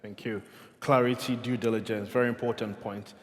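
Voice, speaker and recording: A man moderating a conference panel, briskly summing up answers and handing the word to the next speaker. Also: fairly low pitch, level tone. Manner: brisk, sums up answers and hands over to panelists. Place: conference stage in a large hall. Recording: long hall reverb from a conference stage.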